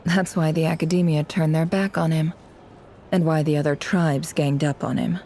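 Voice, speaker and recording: Low, forceful, introspective game heroine. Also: female voice, steady tone, moderate pace, a little hiss or hum. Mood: reflective. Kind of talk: video game voice acting.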